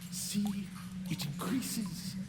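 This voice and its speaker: A man performs a coldly scheming nobleman in a gothic audio story, all courteous concern on the surface. His formal lines come slowly, with lively swoops, from a distance in a reverberant vault. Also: high voice, in a whisper, full character voice. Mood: feigned worry. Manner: formal, solicitous, deliberate. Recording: echoing vault reverb, distant, loud music behind.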